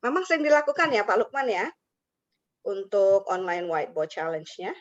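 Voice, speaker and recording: A woman presents a teacher-training webinar over a video call, talking in a chatty, friendly way and often checking in with participants by name. Her voice sits at a middle pitch. The audio is dull and lo-fi. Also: sing-song, normal speed.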